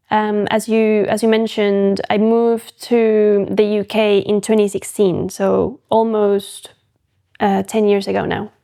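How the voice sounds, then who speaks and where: A woman's voice: mid-range voice, dark tone, clear, resonant tone. A young woman from Mexico, a photographic artist and podcast guest, talking thoughtfully in a casual interview and often restarting her sentences. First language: Spanish.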